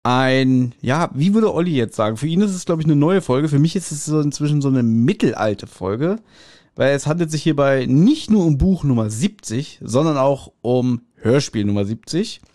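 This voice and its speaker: A man's voice: mid-range voice, lively intonation, normal speed, mellow, no echo, clean studio sound. A middle-aged man co-hosting a podcast, reviewing audio drama in a casual, colloquial and jokey way. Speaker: podcast host.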